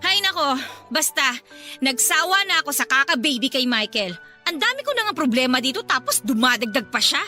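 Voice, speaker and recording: Radio drama role: exasperated female college student, high-pitched and bright, faint music behind. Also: sing-song, fairly quick, full character voice. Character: stressed young female med student and her nagging younger sister. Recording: faint music under dialogue. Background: faint background music.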